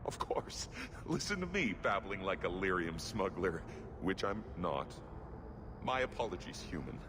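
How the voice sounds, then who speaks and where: Shifty fantasy game dwarf: lowish but animated, jittery, apologetic, clear background noise. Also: male voice, unhurried. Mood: nervous, apologetic. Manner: nervous, rambling. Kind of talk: video game voice acting.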